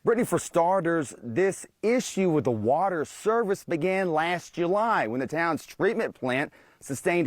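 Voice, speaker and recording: A man's voice: a little high, no echo, studio quality. Punchy male TV news reporter. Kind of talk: scripted live shots and news packages. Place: mostly outdoor standups on location, some voice-over.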